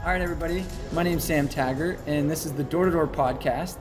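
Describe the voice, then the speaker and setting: A man hosting a podcast in energetic, joking casual chat with frequent restarts. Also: a little high, fast talker, over music. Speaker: podcast host.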